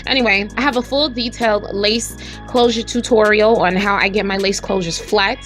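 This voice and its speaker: A young woman with a slightly raspy voice talks viewers through a hair tutorial in a chatty, slangy, friendly way, speaking to them directly. Her pitch sits in an ordinary middle range. Soft music plays quietly underneath. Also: steady tone, normal speed. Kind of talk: casual tutorial narration.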